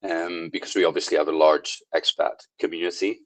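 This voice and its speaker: A man's voice: low voice, steady tone, a little fast, a bit bright, no room sound, low-quality recording. A man from South Africa who owns and coaches at a gym, talking casually in an interview with frequent ums and restarts. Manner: hesitant, many ums and restarts.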